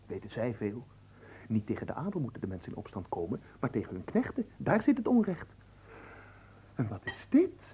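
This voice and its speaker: A man's voice: mid-range voice, wildly swooping pitch, fairly quick, warm tone, a little hiss or hum, poor audio. A man playing a cheeky, gluttonous manservant in a radio play, glib and playful, flirting and talking between mouthfuls. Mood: gleeful, scheming. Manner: glib, playful, talks while eating and humming. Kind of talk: theatrical radio play acting.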